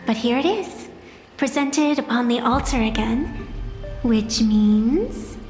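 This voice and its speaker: An ancient female tree spirit in a video game, high-voiced and proud. She speaks theatrically, with wry, playful asides amid formal lines. Her voice rings with hall reverb over clear music. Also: animated, slow. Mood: solemn.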